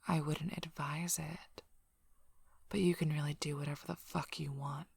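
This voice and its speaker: Woman acting husky, gravelly, curt alpha werewolf woman. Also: sits low, flat delivery, no echo, heavily acted. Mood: irritated. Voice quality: breathy, husky, often whispered. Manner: blunt, dry, impatient, unhurried. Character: curt, sardonic, guarded female alpha werewolf pack leader. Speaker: ASMR role-play performer. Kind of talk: ASMR role-play acting.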